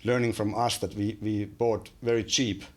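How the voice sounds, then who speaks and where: A man's voice: lowish voice, flat delivery, normal speed, darkish tone. A man in his forties with a Finnish accent, a podcast guest talking casually with many fillers and restarts, recorded on a studio mic in a small room. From Finland. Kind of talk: casual interview chat. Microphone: studio mic on boom arm.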